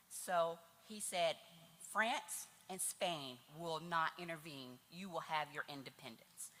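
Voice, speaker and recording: A woman's voice: low voice, bright, forceful tone. Chatty woman speaking in a big hall. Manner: nervous, chatty, addresses the audience directly. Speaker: church event speaker. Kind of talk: informal history talk at a tea event. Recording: hall reverb.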